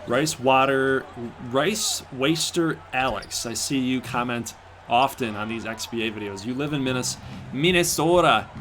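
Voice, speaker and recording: A man from Michigan with a Michigan accent and a somewhat nasal voice, hosting a live stream of a simulated basketball game. He chats with viewers and jokes around, and gets excited at big plays. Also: medium pitch, a little slow, a little hiss or hum. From Michigan, USA. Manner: chatty and joking, talks to the live chat, bursts of excitement at big plays. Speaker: stream host and game commentator.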